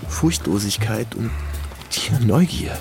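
A war correspondent narrating his memories in a radio drama. His voice is on the low side, measured and reflective, with clear music behind it. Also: animated, exaggerated voice. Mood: tense, reflective. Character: younger American male war correspondent recounting his memories. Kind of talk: first-person radio play narration.

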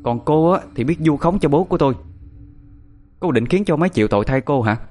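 A man's voice: medium pitch, fast, natural voice. Imperious village medium woman, played by a male narrator, stern and scornful, powerful delivery for audio story, music bed. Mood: angry, accusing. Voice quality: powerful, authoritative. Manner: imperious, issuing sharp orders.

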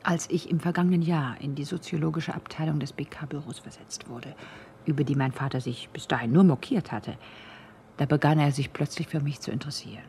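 A woman's voice: deep voice, fairly quick, mellow, a little hiss or hum. An actress in a German radio drama playing a pensive, slightly nervous young woman with a subdued, intimate way of talking. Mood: pensive, uneasy.

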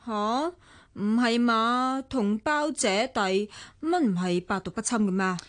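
Woman doing a young Hong Kong Cantonese woman, sarcastic, lecturing, high voice. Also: measured pace, dry recording, lightly acted. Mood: surprised. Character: sharp-tongued young woman, scornful of a rival. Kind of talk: 1990s radio drama acting.

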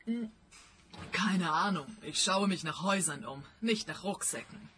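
A blunt, mocking businesswoman in a radio crime play. Her voice is bright and forceful with animated swings, over faint noise. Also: fairly low pitch, unhurried. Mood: matter-of-fact. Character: brash, thirsty businesswoman hunting for property.

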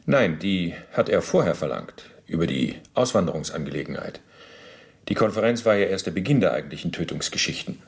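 Middle-aged war criminal, low gravelly voice, swinging intonation, halting, self-justifying, radio drama. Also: male voice, animated. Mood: matter-of-fact, evasive. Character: evasive middle-aged male Nazi war criminal under interrogation. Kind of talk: German radio play, interrogation dialogue.

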